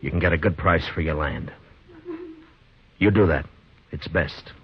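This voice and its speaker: Very deep-voiced weary Western marshal. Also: male voice, unhurried, breathy edge, heavily acted. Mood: gentle, advising. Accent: American. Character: weary, firm but kind Old West marshal. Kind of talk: 1950s radio drama acting and narration. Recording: muffled vintage 1950s radio, music behind.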